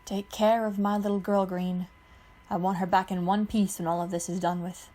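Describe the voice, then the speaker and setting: A woman's voice: medium pitch, flat delivery, moderate pace, warm tone, lightly acted. A woman voicing a kind, wry middle-aged father who is a scientist.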